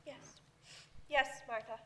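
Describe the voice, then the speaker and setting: A bereaved mother in a staged radio play. Her voice is fairly high and bright, tender and full of mourning, with faint noise behind. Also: fairly even intonation, slow pace, full character voice. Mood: weeping grief. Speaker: actor playing Mrs. Cratchit.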